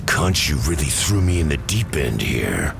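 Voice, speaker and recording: An actor voicing a gruff, blunt, grim vigilante in a video game. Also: male voice, a bass voice, very animated, unhurried, over music, heavily acted. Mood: gruff, wry.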